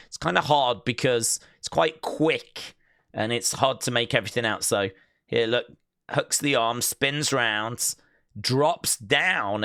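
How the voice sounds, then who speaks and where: A man's voice: mid-range voice, pushed and bright, no echo, studio quality. A man hosting a wrestling news show, chatting informally as he reacts to clips and explains them step by step. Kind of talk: casual news commentary and clip reactions.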